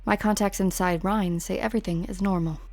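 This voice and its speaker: A woman's voice: mid-range voice, fairly even intonation, brisk, a little mellow, no echo, natural voice. A woman playing a stern, terse, resolute figure in an amateur game fan dub.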